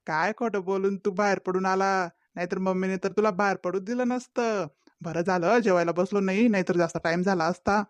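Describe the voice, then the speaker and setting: A performer voicing a sly, smooth-talking young village man in a cartoon comedy, who dodges his mother and sweet-talks his girlfriend. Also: medium pitch, dry recording, natural voice. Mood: eager.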